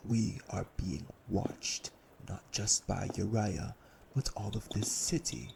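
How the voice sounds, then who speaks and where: An actor playing a cold, cruel, domineering vampire elder brother in an audio drama, speaking deliberately with gravelly menace. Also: male voice, on the low side, noisy background, exaggerated voice. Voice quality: gravelly, creaky. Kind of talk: dramatic audio roleplay acting.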